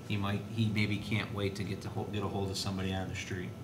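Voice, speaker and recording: Man, administrator at a public meeting, low-pitched, halting delivery, noisy roomy recording. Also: monotone. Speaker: school district administrator reporting to board. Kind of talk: school board meeting discussion. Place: indoor board meeting room. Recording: noisy, echoey meeting room with low rumble.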